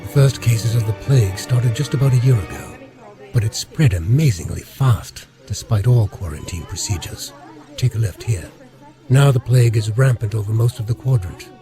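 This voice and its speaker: An actor playing a brusque, evasive space station security chief in an audio drama, businesslike and clipped. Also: male voice, on the low side, moderate pace, music in the background, lightly acted. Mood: brusque, suspicious.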